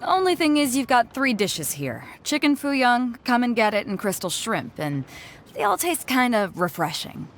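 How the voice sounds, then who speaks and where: A woman's voice: fairly high pitch, sing-song, normal speed, a little hiss or hum. A woman voicing a bold, hearty sea captain in a video game, boisterous and blunt. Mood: jovial. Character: bold, hearty adult female sea captain who likes drink. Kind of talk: animated video game character acting. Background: music.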